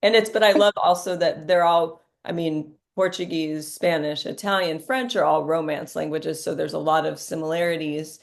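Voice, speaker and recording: A woman's voice: sits low, fairly even intonation, moderate pace, vocal fry, few pauses. A woman teacher hosting an interview, asking questions and encouraging her guest with frequent affirmations. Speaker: Italian teacher and host. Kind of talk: conversational interview.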